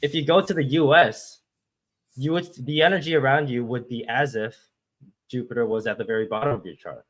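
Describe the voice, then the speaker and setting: A man's voice: mid-range voice, brisk, rough recording. Man from Hong Kong explaining step by step. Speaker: guest astrologer. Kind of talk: explaining with shared screen.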